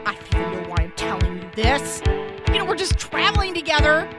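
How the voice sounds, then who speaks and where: A woman's voice: high voice, very animated, brisk, over loud music. A woman playing a defensive, brusque young raider girl in a post-apocalyptic audio drama. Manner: brusque, swearing. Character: defensive, foul-mouthed young female raider.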